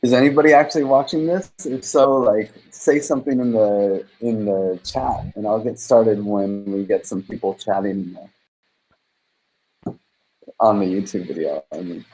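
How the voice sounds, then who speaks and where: A man's voice: on the low side, fairly even intonation, measured pace, a little mellow, rough recording. Rambling casual man hosting a livestream. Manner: loose, rambling, lots of fillers. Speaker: host.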